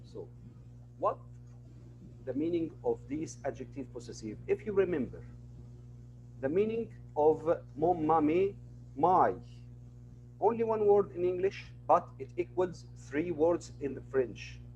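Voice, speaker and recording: A man's voice: on the high side, very animated, measured pace, pauses often, darkish tone, dull audio, a little hiss or hum. A man teaching an online class, patiently repeating each word and example for his students.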